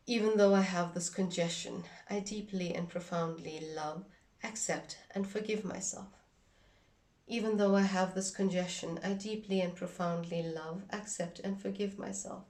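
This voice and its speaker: A woman giving an instructional demonstration in a measured, deliberate way, phrase by phrase. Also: fairly low pitch, darkish tone, far from the mic. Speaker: instructor demonstrating a tapping technique.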